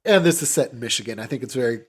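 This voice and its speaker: A man's voice: mid-range voice, expressive, racing, crowd noise. Casual, sweary man recapping an old film. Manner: informal, sweary, paraphrases characters' lines offhand. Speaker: podcast co-host.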